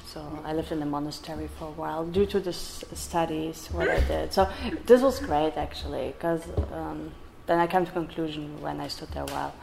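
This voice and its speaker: A woman with a German accent, German being her first language, talking haltingly as an interview guest, with many pauses and restarts. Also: low voice, steady tone, vocal fry, background noise. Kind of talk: personal interview.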